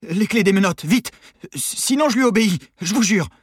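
A nervous male convict, acted out by a man reading an audiobook, his shaky voice jumping well up in pitch. He sounds urgent, panicked and out of his depth, with a raspy edge. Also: lively intonation, moderate pace, no echo, studio quality. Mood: panicked threat. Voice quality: trembling voice. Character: panicky, trembling male convict, frightened reluctant accomplice. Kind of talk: dramatic audiobook character voice.

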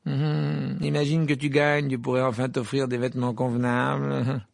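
A man's voice: medium pitch, flat delivery, moderate pace, gravelly, no room sound, clean studio sound. A man voicing a spoiled, sneering teenage wizard boy who mocks and gloats. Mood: gloating. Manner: mocking, gloating, laughing.

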